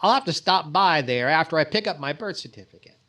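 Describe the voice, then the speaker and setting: Man playing a fussy, high-pitched radio comedy star. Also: lively intonation, fast, over loud music, lightly acted. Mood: musing. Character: fussy, vain, mildly exasperated male radio comedy star. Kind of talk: 1940s radio comedy acting.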